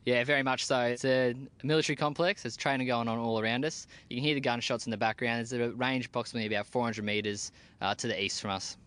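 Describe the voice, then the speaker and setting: A young Australian man, an army team leader, answering interview questions outdoors in a plain-spoken, relaxed way with casual slang. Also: mid-range voice, quick pace, few pauses, clean tone. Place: outdoors at a military base.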